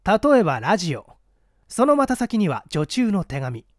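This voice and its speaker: A man's voice: high-pitched, wildly swooping pitch, normal speed, darkish tone, no echo, studio quality. A man voicing a shrewd, condescending scholar-detective in a mystery reading, lecturing methodically and a little pompously. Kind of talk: dramatic storybook reading of a mystery.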